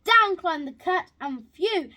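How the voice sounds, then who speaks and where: A woman reading a rhyming children's picture book aloud, haltingly, stumbling and repeating words as she goes. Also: high-pitched, lively intonation. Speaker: storybook reader.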